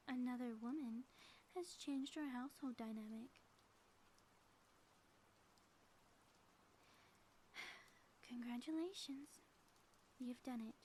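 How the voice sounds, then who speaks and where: A woman's voice: high-pitched, warm tone, a little hiss or hum. A woman playing a theatrical ex-villain spouse in an audio roleplay, delivering grandiose, mock-menacing taunts in florid language. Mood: mocking surprise. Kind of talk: scripted audio roleplay monologue, ASMR.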